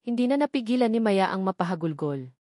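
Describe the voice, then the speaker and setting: A woman's voice: medium pitch, expressive, fast, dark tone, no echo, studio quality. A woman reading a dramatic scripted love story as its narrator.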